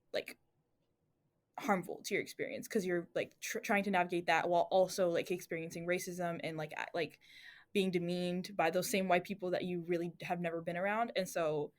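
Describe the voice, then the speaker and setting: A young woman talking casually on a student podcast, reflective and rambling, with many fillers and restarts. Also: fairly low pitch. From Atlanta family.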